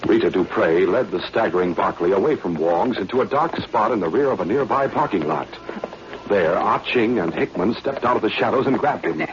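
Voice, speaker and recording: A man's voice: on the low side, expressive, moderate pace, lo-fi sound, lightly acted. An American man playing the narrator of a 1940s radio spy adventure, reading scripted narration. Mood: dramatic. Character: brisk male narrator of a spy adventure serial. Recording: noisy, band-limited old radio recording.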